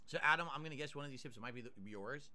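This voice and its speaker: A man's voice: mid-range voice, racing, bright, forceful tone. A man streaming live, chatting casually as he eats and reads out viewer tips and thanks. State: mouth burned, nasal drip. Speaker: livestreamer.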